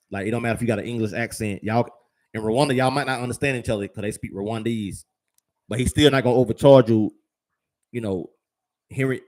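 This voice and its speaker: Rambling casual man with a low voice. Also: a little mellow. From United States. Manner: rambling and conversational, with many fillers and repeated words; uses slang, addresses viewers as fam and y'all. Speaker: travel vlogger. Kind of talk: casual advice monologue to viewers.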